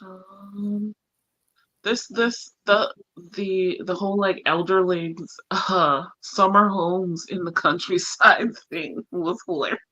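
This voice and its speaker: Bright-voiced woman, wry and informal, dull audio. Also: mid-range voice, steady tone, takes it slowly, dry recording. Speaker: book discussion panelist. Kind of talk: casual book chat. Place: online group live stream.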